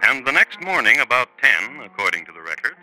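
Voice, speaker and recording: A male host narrates a scripted 1950s radio crime story. His voice is mid-pitched and piercingly bright, with animated intonation. He speaks in deliberate, clipped phrases with a dry manner. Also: soft background music, poor audio.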